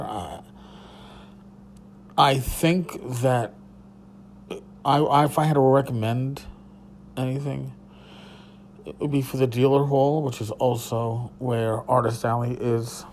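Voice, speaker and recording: A tired man with a hoarse voice, hosting a casual solo podcast from a hotel room and rambling loosely with a bit of swearing. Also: mid-range voice, steady tone, slow, long pauses, a little mellow, a little hiss or hum. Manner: rambling, profane, restarts. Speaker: podcast host.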